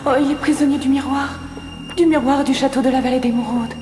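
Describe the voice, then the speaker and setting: A woman's voice: high-pitched, fairly even intonation, a little fast, close to an everyday voice. A woman voicing a lovesick young female fairy in a cartoon, tender and longing. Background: music.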